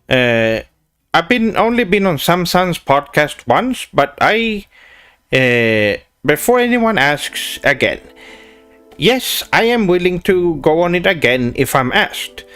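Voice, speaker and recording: Young Swedish man, lively slightly high voice, pompous anime-fan streamer persona, faint music behind. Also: slow, clear, resonant tone. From Sweden. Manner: pompous weeaboo persona, sprinkles Japanese words; hesitant restarts with many "uh"s. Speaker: streamer answering chat. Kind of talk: casual livestream chat, reading questions aloud.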